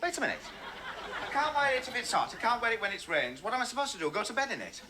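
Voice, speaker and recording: An actor playing a stubborn, proud middle-aged husband in a sitcom, wry and defensive. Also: male voice, extremely high pitch, very animated, racing, background noise.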